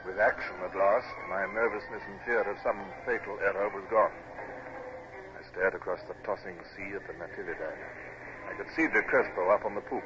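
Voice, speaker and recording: An actor playing a stern Royal Navy captain in a radio drama, speaking with formal, crisp authority. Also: male voice, lowish voice, natural voice. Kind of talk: old-time radio drama acting, with first-person narration. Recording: noisy, dull old radio drama recording.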